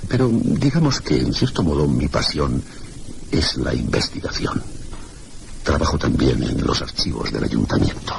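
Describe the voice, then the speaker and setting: A man's voice: very deep voice, lively intonation, moderate pace, gravelly, heavy background noise, heavily acted. An actor playing an inquisitive, bookish male schoolteacher in a radio drama, speaking with hesitant, apologetic, very formal courtesy. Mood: inquisitive, polite. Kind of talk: Spanish radio drama dialogue.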